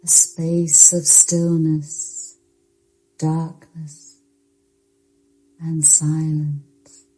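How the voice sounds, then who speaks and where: A woman narrating a guided yoga nidra meditation in a soothing, hushed, unhurried voice with long pauses. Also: low voice, level tone, rough recording.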